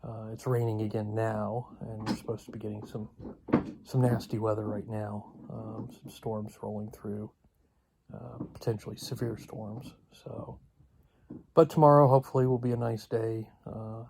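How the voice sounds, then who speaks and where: A man giving a casual, unscripted vlog update in a halting, rambling way with long pauses. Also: lowish voice, flat delivery, creaky, mellow, slight background noise. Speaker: video blogger.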